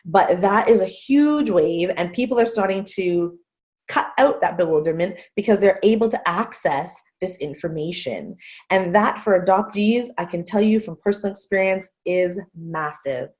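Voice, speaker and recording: A woman in her thirties from Canada giving an earnest, measured webinar talk as a guest speaker over a video call. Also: on the low side, animated, darkish tone. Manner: earnest, measured, repeats phrases for emphasis. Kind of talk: webinar presentation. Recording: muffled video call audio.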